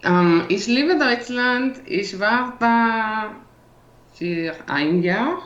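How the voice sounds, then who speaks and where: A woman's voice: a little high, lively intonation, very slow, fairly bright tone. A woman speaking as a non-native learner with a foreign accent, chatting casually and searching for words. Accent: non-native, foreign-accented German. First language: not German; learned it as a foreign language. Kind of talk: casual language-practice chat. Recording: echoey room, muffled audio.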